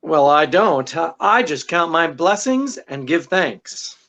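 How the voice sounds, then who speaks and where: A woman's voice: low voice, very animated, takes it slowly, fairly bright tone, full character voice. A woman acting a wisecracking, devoted husband and sleuth in 1940s radio style, with flustered banter. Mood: defensive.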